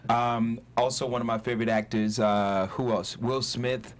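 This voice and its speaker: Animated Sierra Leone-born man telling stories. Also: medium pitch, normal speed. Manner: animated, laughing storyteller with frequent restarts. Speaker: actor guest. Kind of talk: casual panel chat. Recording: light background noise.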